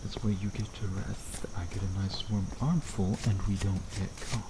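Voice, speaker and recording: Man playing a low-voiced teasing vampire, crickets behind. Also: fairly even intonation, moderate pace. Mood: teasing, smug. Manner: sardonic, playful banter with pet-name teasing. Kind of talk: ASMR roleplay acting, addressing the listener. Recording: noisy outdoor ambience with crickets.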